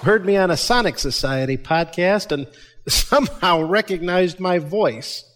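A man's voice: high voice, measured pace, a bit bright, slight background noise. A man playing an enthusiastic audio-show actor, wry and theatrical, in scripted comedy dialogue.

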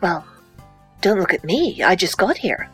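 Actress as stern female editor, drawn-out delivery, sweeping intonation, disdainful, audio comedy. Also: lowish voice, expressive, over music. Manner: withering, emphatic. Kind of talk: comedy audio drama acting.

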